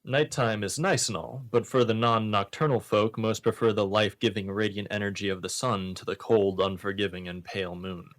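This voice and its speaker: A man with a fairly low voice hosts an amateur comedy radio segment. He reads written one-liners aloud and chats casually in between them. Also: fairly even intonation, fairly quick, hardly any pauses. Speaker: host of a comedy radio variety show.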